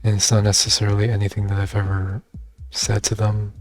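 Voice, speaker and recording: A young man talking in a soft, reserved and hesitant way, with many fillers, in a personal video monologue. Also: on the low side, completely flat delivery, a little fast, faint music behind. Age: young adult. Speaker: vlogger.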